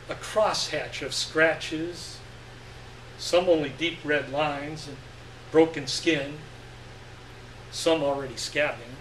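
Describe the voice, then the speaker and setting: A man reads literary fiction aloud on stage with deliberate, expressive phrasing. His voice is fairly high and very unhurried, picked up from a distance in a roomy space. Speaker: story reader. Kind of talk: dramatic reading of literary fiction to a live audience. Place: live stage reading event. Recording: echoey room, distant mic, faint noise.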